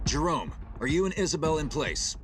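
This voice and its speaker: An actor playing a steady, authoritative military starship captain in a dramatic video game, speaking in measured commands. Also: male voice, fairly high pitch. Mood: resolute. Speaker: ship captain. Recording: loud game music behind. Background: music.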